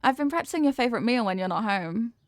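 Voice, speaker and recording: A sweet headless horsewoman played by a woman speaks in a fairly high voice, close and dry. She is a devoted, giddy girlfriend in an intimate ASMR audio roleplay. Her talk is playful and full of affection. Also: lively intonation, fast talker, no echo, lightly acted. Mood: dreamy, loving.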